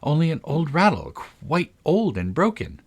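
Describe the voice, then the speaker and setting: A man voicing a gentle, earnest and sensitive young girl from a storybook. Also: fairly high pitch, very animated, measured pace, pitched above the performer's own voice, exaggerated voice. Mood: soothing.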